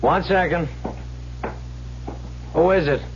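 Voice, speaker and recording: An actor playing a smooth-talking young swindler in an old radio drama, hesitant and ingratiating, on a muffled recording. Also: male voice, fairly high pitch, slow, over loud music. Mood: uneasy, scheming. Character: smooth-talking young male small-time swindler, feigning boyish shyness.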